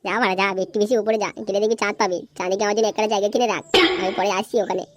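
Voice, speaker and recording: Casting: woman as cocky young male boozer, cartoon comedy, very quick slangy delivery. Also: mid-range voice, fairly even intonation, lightly acted. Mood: tipsy. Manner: slangy, brash. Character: rowdy young men drinking, cocky and foul-mouthed.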